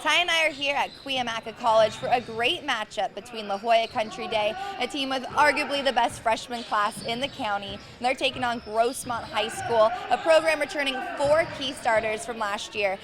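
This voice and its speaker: A woman co-hosting a high school sports show with a bright, scripted delivery. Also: a little fast, few pauses.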